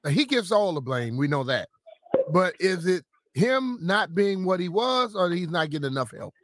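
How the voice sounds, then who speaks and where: A man's voice: high voice, big pitch swings, normal speed, fairly bright tone, no room sound. An American man co-hosting a sports radio show, asking questions casually into a studio microphone. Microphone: studio broadcast microphone on boom arm.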